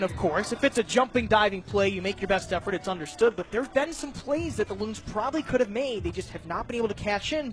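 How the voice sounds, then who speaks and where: An American man doing live radio play-by-play of a baseball game, describing each pitch and play in detail. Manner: detailed, narrates each pitch, animated on big plays.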